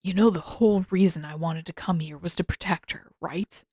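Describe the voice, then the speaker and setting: A woman's voice: lowish voice, fairly quick, a little mellow, breathy edge, no room sound, pitched below the performer's own voice. A woman reader puts on a prickly young prince in an adventure story. He is guarded and sullen, answering in short, defensive bursts with a dry edge. The recording has no audible room. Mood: guarded, earnest.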